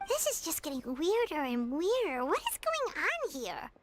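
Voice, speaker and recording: A woman voicing a small, childlike, nosy fairy companion in a video game with a squeaky, exclaiming delivery. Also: huge pitch swings, moderate pace, heavy background noise. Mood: spooked. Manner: exclaiming, refers to herself in third person.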